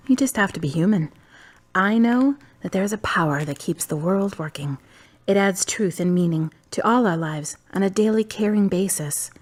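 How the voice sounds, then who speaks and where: An actress playing a calm, wise young woman in her twenties from the United States, who talks bluntly and teases dryly. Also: mid-range voice, normal speed, close to an everyday voice. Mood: firm. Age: 20s. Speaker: friend and love interest. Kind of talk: amateur web drama acting.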